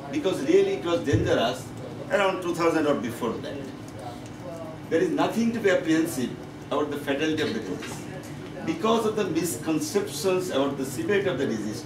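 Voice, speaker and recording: A man with a Bangladeshi accent giving a medical conference lecture as a professor, in halting, repetitive, emphatic phrases. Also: a little high. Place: conference meeting room. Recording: echoey, noisy room, distant microphone.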